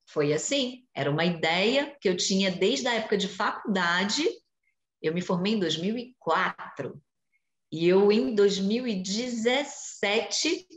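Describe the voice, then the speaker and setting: A woman in her forties, a journalist guest, explaining in a lively way during a video-call interview from home. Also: mid-range voice. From Brazil. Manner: animated, explanatory, gestures while talking. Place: home room with shelves. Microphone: video call webcam. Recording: echoey room on video call.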